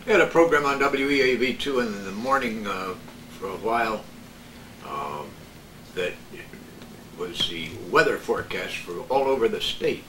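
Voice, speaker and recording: Deep older man, noisy recording. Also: animated, normal speed, echoey room. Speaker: former radio worker. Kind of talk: casual reminiscing. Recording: noisy, distant microphone.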